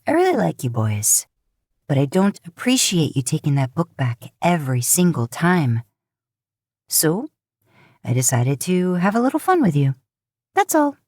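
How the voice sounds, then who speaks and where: A woman's voice: deep voice, big pitch swings, measured pace, pauses often, a little mellow, no echo, clean studio sound, heavily acted. A woman voicing a chirpy, teasing witch in a fan fiction audiobook, playful and unrepentant. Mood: playful, smug. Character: chirpy, teasing, mischievous adult female witch. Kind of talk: podfic character voice.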